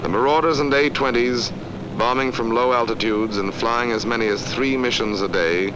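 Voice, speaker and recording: An American man narrating a 1940s wartime propaganda film in a measured, declarative manner, on a vintage soundtrack. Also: mid-range voice, background noise. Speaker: newsreel narrator.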